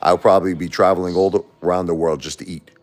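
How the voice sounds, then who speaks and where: Deep-voiced New man on a livestream. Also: fairly even intonation, quick pace, darkish tone. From Brooklyn, New York. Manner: blunt, repeats himself, spars with critics. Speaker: livestream host. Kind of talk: casual livestream chat answering viewers. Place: home.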